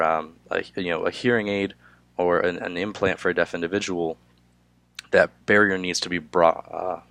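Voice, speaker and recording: Young male student, hoarse with a cold, very low voice, halting delivery, dry room. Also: fairly bright tone, no echo. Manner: halting, many filler "uh"s. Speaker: student presenter. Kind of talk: class presentation.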